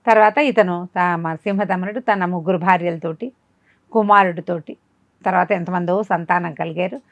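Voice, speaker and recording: A woman's voice: lowish voice, steady tone, moderate pace, no echo. A woman telling a folk tale informally, conversationally asking rhetorical questions as she narrates. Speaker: storyteller.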